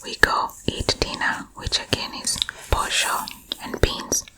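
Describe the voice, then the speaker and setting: Female, from Uganda, for whispered ASMR storytelling: very slow, unvoiced delivery, chatty and meandering. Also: bright, forceful tone, low-quality recording. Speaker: storyteller recalling her own school days.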